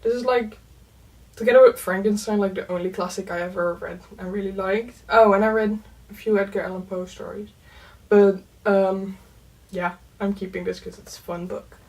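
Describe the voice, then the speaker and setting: A young woman whose first language is Dutch talks through her bookshelf in a loose, chatty way, dotting her sentences with "um" and "like". Her voice sits at a medium pitch and picks up a little distance, as if the mic is set back from her. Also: steady tone, moderate pace. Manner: chatty, lots of "um" and "like", soft laughs. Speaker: book vlogger. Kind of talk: casual chat.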